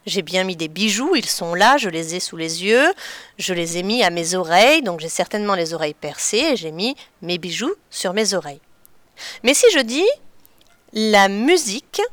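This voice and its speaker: A woman addresses children as their teacher, patient and deliberate as she explains. Her voice is smooth and clear. The sound is dry and close. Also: medium pitch, fairly bright tone, no room sound. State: slightly stuffy from a cold. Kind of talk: children's lesson on figurative expressions.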